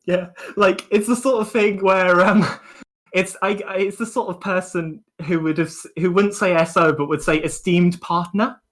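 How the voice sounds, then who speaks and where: A man's voice: high voice, fairly even intonation, moderate pace, a bit bright. A young man co-hosts a student radio stream. He talks in a casual, conversational way, as if chatting with friends and listeners. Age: young adult. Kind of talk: casual livestream chat.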